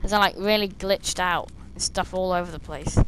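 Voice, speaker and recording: Hoarse young woman chatting over gameplay. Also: on the low side, normal speed, background noise. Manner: chatty, rambling, talks to game enemies. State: slightly hoarse, losing her voice. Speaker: gameplay streamer. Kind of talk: casual gameplay commentary. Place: small room indoors, seated at a desk.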